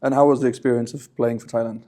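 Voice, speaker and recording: A man hosts a relaxed sports interview, asking long, chatty questions at a brisk clip. His voice sits at an ordinary middle pitch for a man. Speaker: interview host. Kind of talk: casual interview.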